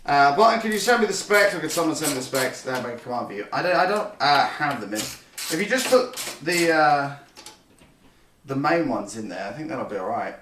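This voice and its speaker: A man's voice: fairly high pitch, lively intonation, moderate pace, fairly bright tone. Chatty man live-streaming a PC build. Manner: rambling, self-correcting, chatty with viewers. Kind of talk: casual live-stream chat. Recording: roomy, slightly distant, faint noise.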